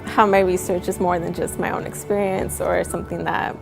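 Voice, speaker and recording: A graduating woman student in a video testimonial, thoughtful and pausing with fillers. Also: fairly low pitch, level tone, vocal fry. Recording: music bed underneath.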